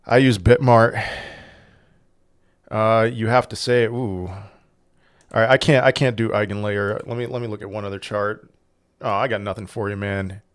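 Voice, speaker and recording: A man's voice: on the low side, fairly even intonation, unhurried, pauses often, smooth. A man hosting a casual crypto livestream, reading chat questions aloud and answering them in a rambling way with frequent restarts. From Portugal. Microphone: desk microphone.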